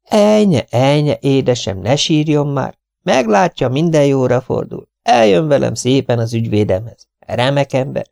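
A man's voice: medium pitch, animated, gravelly, hardly any pauses, darkish tone, no echo, studio quality, voice pushed up. A man voicing a gushing, fussy middle-aged spinster in a fluttery, breathless voice.

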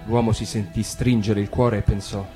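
A man reading a fairy tale aloud on radio in a calm, measured storytelling voice. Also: lowish voice. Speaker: reader. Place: radio studio. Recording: guitar music underneath.

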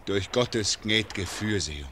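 An actor playing a proud, grave archer hero who speaks in a solemn manner. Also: male voice, lowish voice, animated, takes it slowly, sharp tone, slight background noise, exaggerated voice.

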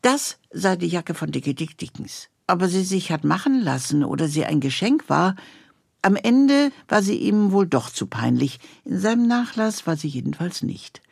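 A woman presenting on the radio with a husky, creaky voice. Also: on the low side, sing-song, moderate pace, a little mellow, no room sound, studio quality.

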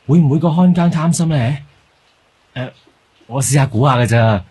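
A man's voice: medium pitch, normal speed, mellow, breathy edge, no room sound. An actor playing an eager young junior detective, a man with a Hong Kong Cantonese accent, tentative and inquisitive, in a radio drama. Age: young adult.

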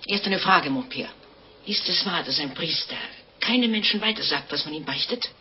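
An actress playing a sly, insistent innkeeper's wife in a radio play, speaking in a dry, probing way. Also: female voice, lowish voice, expressive, normal speed, close to an everyday voice. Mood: probing, guarded. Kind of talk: 1950s German radio play acting. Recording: muffled old radio recording, faint noise.

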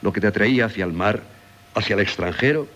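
An actor playing a middle-aged, devoted and sorrowful doctor husband in a radio drama, solemn and theatrical, with a Castilian Spanish accent. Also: male voice, fairly low pitch, fast, fairly bright tone, lightly acted. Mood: earnest wonder. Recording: slightly noisy 1970s radio recording.

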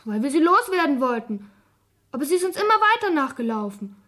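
A woman's voice: high voice, very animated, fairly quick, sharp tone, heavily acted. A female performer playing a sullen twelve-year-old schoolgirl in a German radio crime drama, blunt, pert and evasive. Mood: defiant, cheeky.

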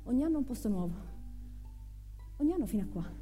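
A woman's voice: a little high, sing-song, fast talker, warm tone, faint music behind. An actress playing a spirited young single mother in a theatrical monologue, emphatic and given to repeating phrases. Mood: reflective. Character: spirited young single mother telling her life story. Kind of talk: theatrical first-person monologue with acted dialogue.

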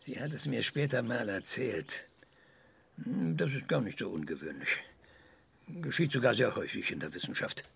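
A man playing a self-assured research scientist in a radio drama, lecturing emphatically with dramatic pauses. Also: mid-range voice, animated, gravelly, a bit bright, breathy edge, exaggerated voice. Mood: enthusiastic, lecturing. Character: excitable, self-assured male research scientist giving an interview.

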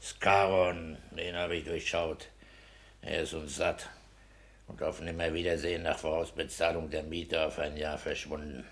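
An actor playing a smug, domineering petty official and landlord in a 1960s German radio play, matter-of-fact and pleased with himself. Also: male voice, a bass voice, gravelly, fairly bright tone, full character voice. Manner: matter-of-fact, self-satisfied.

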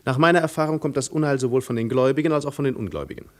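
An actor playing a cool, self-assured adventurer prince in a 1950s radio play, speaking formally with dry irony. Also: male voice, mid-range voice, very fast, close to an everyday voice. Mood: suave, measured. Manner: suave, formal, dryly ironic.

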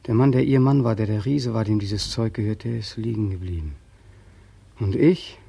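Broken returned soldier, husky voice, bitter, brooding, anguished, dry close studio sound, 1940s radio play. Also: male voice, lowish voice, moderate pace, warm tone, dry recording. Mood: stunned, brooding. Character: bitter, haunted returned soldier, a lost man. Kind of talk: 1940s German radio drama acting.

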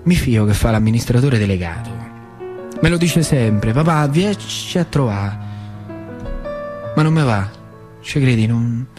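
An actor playing a plain-spoken factory worker who reminisces in a theatrical monologue, with a rural central Italian accent and colloquial, repetitive phrasing. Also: male voice, a little high, darkish tone, close to an everyday voice. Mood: wistful, indignant. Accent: central Italian, rural Lazio. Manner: colloquial, repetitive, dwelling on words. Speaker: stage actor in a solo narrative play.

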